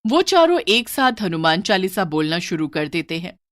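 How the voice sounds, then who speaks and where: A woman's voice: fairly low pitch, very animated, normal speed, fairly bright tone, dry recording, clean studio sound. A woman narrating a scripted horror story in a dramatic storytelling style.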